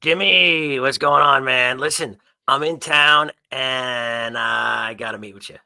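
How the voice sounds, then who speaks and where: A man's voice: medium pitch, creaky, pushed and bright, dry recording, heavily acted. A man voicing a jittery biker who pleads and reassures, repeating his words, in a tabletop roleplaying game. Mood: pleading, hurried. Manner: rapid repetition of words.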